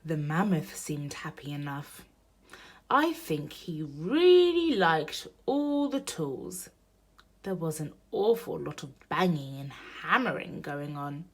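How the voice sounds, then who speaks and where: Woman, children's story read-aloud, lowish pitch, unhurried pace, expressive storytelling delivery. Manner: slow, animated storytelling for young children. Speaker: storybook reader.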